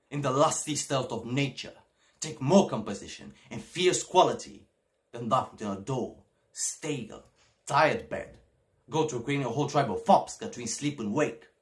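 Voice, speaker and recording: A man performing a classical stage soliloquy as a bitter, scheming bastard son, deliberate and brooding. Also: mid-range voice, close to an everyday voice. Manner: deliberate, brooding, hissing to declaiming.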